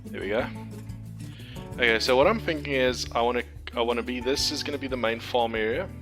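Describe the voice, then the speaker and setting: A man's voice: medium pitch, brisk. A man from Cape Town with a South African accent, whose first language is Afrikaans, giving a casual, hesitant walkthrough of his game map with lots of ums and restarts. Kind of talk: casual screen-share walkthrough. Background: background music.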